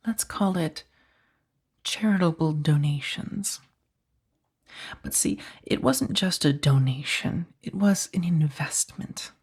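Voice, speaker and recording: A woman playing a powerful, condescending mafia boss in a soft-spoken ASMR roleplay, taunting with mock sweetness and a breathy, husky voice that dips toward whispers. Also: fairly low pitch, exaggerated voice.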